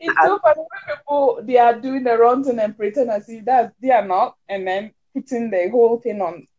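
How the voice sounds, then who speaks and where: A woman's voice: a little high, fairly even intonation, normal speed, mellow, muffled recording. A woman with a Ghanaian accent in casual, conversational chat.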